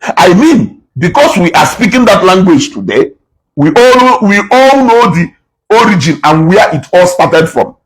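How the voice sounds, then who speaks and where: A man's voice: high-pitched, very animated, a little slow, husky, low-quality recording. A man giving passionate reaction commentary, preachy and repeating words for emphasis. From Nigeria, Igbo.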